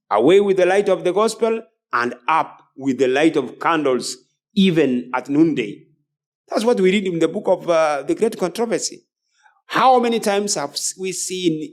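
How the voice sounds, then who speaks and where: High-pitched male preacher, earnest and admonishing. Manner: earnest, emphatic repetition and admonishing. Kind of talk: sermon.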